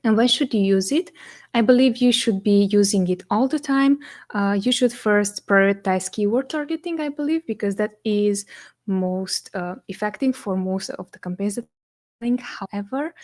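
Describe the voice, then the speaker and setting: A woman's voice: mid-range voice, normal speed, darkish tone. Romanian woman explaining ads on a live stream. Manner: conversational, explains step by step with frequent fillers and "right?". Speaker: agency co-founder hosting a live Q&A.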